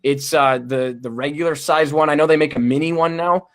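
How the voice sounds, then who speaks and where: Young male guest, booming voice a touch high, eager and rambling, on a home podcast mic. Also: fairly even intonation, fairly quick. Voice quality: booming loud voice. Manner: enthusiastic, many fillers and restarts. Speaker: chiropractic student guest. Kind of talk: casual interview over video call. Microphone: desktop USB podcast mic.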